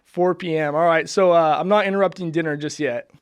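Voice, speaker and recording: A man's voice: fairly high pitch, fairly even intonation, fairly quick, smooth. A man hosting a podcast, upbeat and friendly in casual interview chat, with frequent fillers. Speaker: podcast host. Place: studio.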